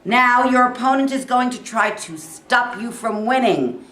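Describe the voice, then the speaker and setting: A woman playing a fiery middle-aged male high school football coach, giving a commanding pep talk in a staged reading. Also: lively intonation, a little slow, a bit bright. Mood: rousing, fierce. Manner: emphatic, commanding, rousing. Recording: echoey live room.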